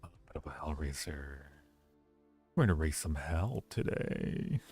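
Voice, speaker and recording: A man's voice: very deep voice, lively intonation, moderate pace, darkish tone, slightly rough, soft background music. A man hosting a casual livestream, teasing and rambling playfully as he ranks things and repeats his phrases. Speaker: livestream host. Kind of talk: casual livestream chat and ranking commentary.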